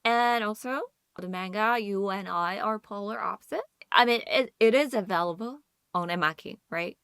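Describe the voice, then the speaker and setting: A woman's voice: medium pitch, moderate pace, fairly bright tone, clean tone, no room sound, studio quality. A woman co-hosting a podcast in casual conversation, agreeable and often checking in with her listener. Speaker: podcast co-host.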